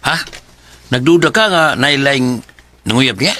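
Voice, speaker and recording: A man's voice: mid-range voice, expressive, unhurried, over loud music, natural voice. An actor with a Cebuano Filipino accent playing a blunt young man giving his buddy advice in a radio drama. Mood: cautioning.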